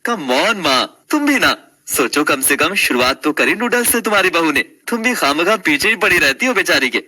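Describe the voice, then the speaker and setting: An actor playing an easygoing, protective young husband who chides and advises casually in a family story. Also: male voice, on the high side, big pitch swings, moderate pace, sharp tone, exaggerated voice. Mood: placating. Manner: chiding, reasoning, casual.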